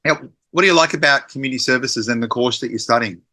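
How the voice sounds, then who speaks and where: A man's voice: mid-range voice, fast, pushed and bright. A man hosting a podcast interview, upbeat and friendly as he asks questions. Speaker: podcast host.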